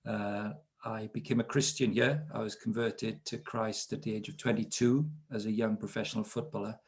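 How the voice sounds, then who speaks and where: A man's voice: fairly low pitch, fairly even intonation, moderate pace, slightly rough. A man in his fifties with a Welsh accent from South Wales, telling stories about his life as a guest in an interview, self-deprecating and chatty. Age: 50s. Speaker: guest speaker. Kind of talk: relaxed storytelling interview.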